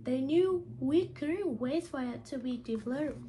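A high-pitched woman speaking English as a second language in a teacher's read-aloud style. She goes slowly and carefully, sometimes stumbling over words. There is some light background noise. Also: animated, dark tone. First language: not English. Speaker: teacher reading a picture book aloud. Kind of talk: read-aloud storytelling and lesson explanation.

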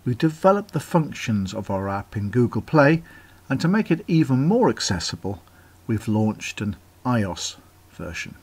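A man with a husky voice at a mid pitch, reading scripted corporate announcements for an online webinar. His delivery is upbeat and promotional, like a company presenter running through news and offers. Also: very animated, unhurried. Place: voice-over over slides.

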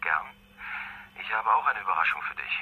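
A flirting man on a dull, slightly noisy phone line in a crime radio drama. He is deep-voiced and talks fast, with a playful tone. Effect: telephone. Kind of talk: crime radio play acting.

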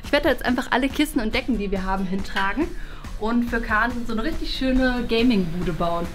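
Young female vlog host, higher voice, playful casual delivery to camera, prominent music bed. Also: a little fast. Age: young adult. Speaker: prank show host.

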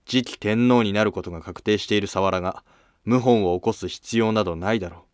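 Man acting a low-voiced, assured emperor. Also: a little fast, clean tone, no echo, clean studio sound, lightly acted. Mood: assured, dismissive. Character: trusting, authoritative male emperor of ancient Japan. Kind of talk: scripted historical drama dialogue.

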